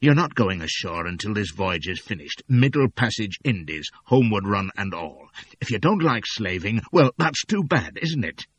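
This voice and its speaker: A man voicing a cold, menacing slave-ship captain in an audiobook, speaking slowly and quietly with quiet command. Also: lowish voice, big pitch swings, slightly rough, highs cut off. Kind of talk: audiobook character voice.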